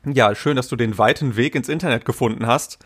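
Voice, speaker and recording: A man's voice: mid-range voice, fairly quick, fairly bright tone. Joking young male podcast host. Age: young adult. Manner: chatty, joking, with frequent filler sounds. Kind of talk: casual interview chat.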